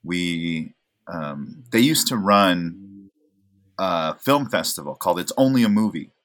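Very deep-voiced man, relaxed and rambling. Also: takes it slowly. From Oregon, USA. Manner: relaxed, rambling, self-deprecating, many fillers. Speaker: actor, guest. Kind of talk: casual interview chat.

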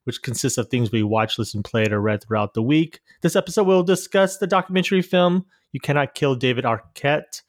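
A man's voice: medium pitch, animated, brisk, few pauses, dry recording, studio quality. A man hosting a casual podcast chat, talking loosely and a bit hesitantly as he runs through things. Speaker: podcast host.

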